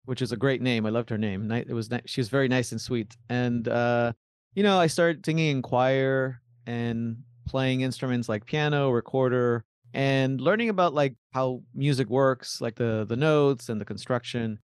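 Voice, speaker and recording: Argentine-born, Miami-raised male composer, rambling and casual, smooth voice, dry close sound. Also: medium pitch, moderate pace, no room sound. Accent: American, Miami. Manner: rambling, many restarts and likes. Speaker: composer, guest. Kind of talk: casual interview answers.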